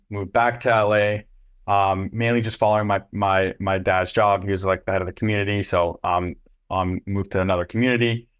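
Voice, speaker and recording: Young male guest, low-pitched, loose and rambling with lots of fillers. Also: clear, resonant tone. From Israel. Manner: loose and rambling, many ums and restarts. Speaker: agency co-founder and CEO. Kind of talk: casual interview answers.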